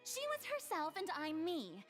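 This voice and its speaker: Theatrical young game goddess, haughty, evasive, swooping intonation, background music. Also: female voice, very high-pitched, wildly swooping pitch, moderate pace, fairly bright tone, full character voice. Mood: defensive, regretful. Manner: dramatic, haughty, breezy. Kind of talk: video game voice acting. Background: orchestral game music.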